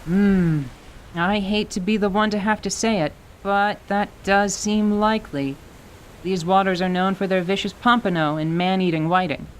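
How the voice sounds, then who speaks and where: A young tiefling girl, voiced by a woman for a fantasy radio play. She is confident and cheeky, with a sassy deadpan at a middle pitch. A faint noise sits under the recording. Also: moderate pace, natural voice, poor audio. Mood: mock solemn. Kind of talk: dramatized radio theater acting.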